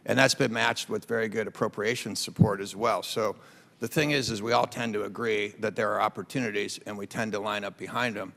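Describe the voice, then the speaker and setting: A man testifies formally in a somewhat low voice with a gravelly creak. His phrasing is measured and articulate. The large hearing room adds a long reverb. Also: steady tone, a bit bright. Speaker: aviation industry witness.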